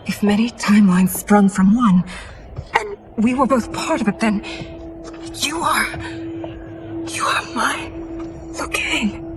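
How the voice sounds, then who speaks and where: A woman's voice: mid-range voice, moderate pace, close to an everyday voice. A woman as an earnest, regal warrior queen. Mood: wistful. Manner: formal, earnest, dramatic. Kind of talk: dramatic video game cutscene acting. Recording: loud cinematic music over dialogue.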